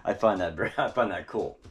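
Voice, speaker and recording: A man's voice: deep voice, measured pace, breathy edge. An American man telling local stories as a vlogger in a casual, folksy, chatty way, talking straight to his viewers. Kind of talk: casual storytelling vlog about local history. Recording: noisy, with ambient vehicle sounds.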